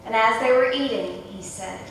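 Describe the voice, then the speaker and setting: A woman's voice: normal speed, vocal fry. A woman narrating scripture as part of a dramatic reading in a church. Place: church during a service. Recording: echoey church hall, distant mic, rumbly noise.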